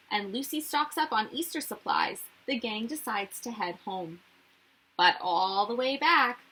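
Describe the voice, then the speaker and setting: Animated, bright-voiced woman reading a storybook. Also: a little high, husky, low-quality recording. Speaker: children's story reader. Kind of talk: animated picture-book read-aloud.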